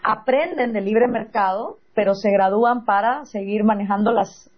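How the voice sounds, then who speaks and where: A woman with a Guatemalan accent in conversation as an interview guest, telling personal stories in an animated, emphatic way. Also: medium pitch, normal speed, highs cut off. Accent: Guatemalan Spanish.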